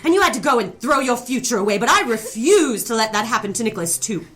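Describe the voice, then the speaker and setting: A woman's voice: a little high, animated, fast talker, fairly bright tone, faint music behind. An actress playing a cruel, haughty middle-aged mother in a noir audio drama, scolding and condescending. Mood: furious, disdainful. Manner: imperious, scolding, condescending endearments. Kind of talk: noir radio drama acting.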